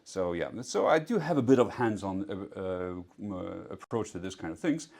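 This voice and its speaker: A man's voice: fairly low pitch, very animated, moderate pace, a little mellow. A young man from Poland who grew up in Singapore, a doctor explaining earnestly in an interview, with some fillers. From Poland, raised in Singapore. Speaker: physician guest. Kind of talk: interview answers. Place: clinic in Warsaw.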